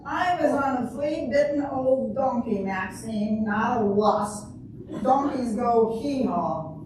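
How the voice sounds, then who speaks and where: A grumpy, cheeky older lady played by a woman in a readers theater speaks in a somewhat high voice with deadpan comic timing. She is distant from the microphone in a very echoey room, with clear background noise. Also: takes it slowly. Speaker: amateur readers theater performer. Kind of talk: comedic stage reading. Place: large echoey room before an audience. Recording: echoey hall from far off, noisy.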